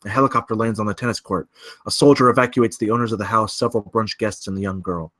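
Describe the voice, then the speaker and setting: A man's voice: on the low side, steady tone, fast, fairly bright tone. A man reading scene directions as the narrator of a live screenplay table read.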